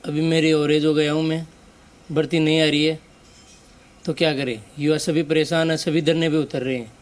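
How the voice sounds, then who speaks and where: A man's voice: fairly high pitch, little pitch movement, moderate pace. A young man preparing for the army, speaking plainly and a bit hesitantly. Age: young adult. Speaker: army aspirant.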